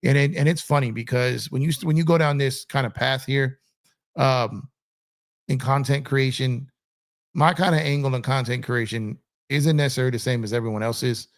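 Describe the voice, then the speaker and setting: Gravelly-voiced man rambling on a livestream. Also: medium pitch, steady tone, normal speed. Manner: rambling, repeats himself, many fillers. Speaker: livestream host. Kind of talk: casual livestream chat reading comments. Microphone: streaming setup in a gaming chair.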